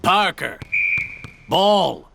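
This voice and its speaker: A man acts a swaggering teenage bully for radio drama. He taunts slowly, with wildly lively pitch swings. The track is noisy, with a basketball bouncing. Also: creaky, heavily acted. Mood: taunting. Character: loud jeering teenage jock bully playing basketball.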